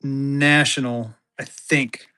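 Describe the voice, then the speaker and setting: A tired man running a live banknote auction on a stream, hyping bids in quick bursts and talking about himself in the third person. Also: medium pitch, fairly even intonation, bright, forceful tone, clean tone.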